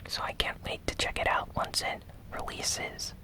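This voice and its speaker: A host whispering a gaming news recap in ASMR style, softly and without hurry, close to the microphone. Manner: soft, unhurried whispering.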